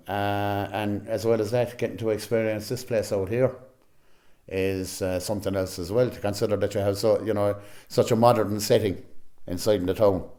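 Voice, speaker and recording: A man with an Irish accent chats casually in an interview. His voice is low and mellow, and he talks in a loose, chatty way. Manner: chatty, frequent "you know". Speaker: amateur actor, interviewee.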